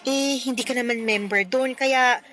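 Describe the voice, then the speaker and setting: A woman's voice: fairly high pitch, moderate pace, fairly bright tone, soft background music, natural voice. An actress playing a concerned best friend in a radio drama, earnest and insistent as she explains and justifies herself. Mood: explaining, defensive. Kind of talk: Filipino radio drama acting.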